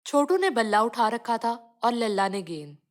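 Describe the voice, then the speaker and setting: A woman's voice: on the high side, lively intonation, moderate pace. A woman reading scripted narration for a children's cartoon story.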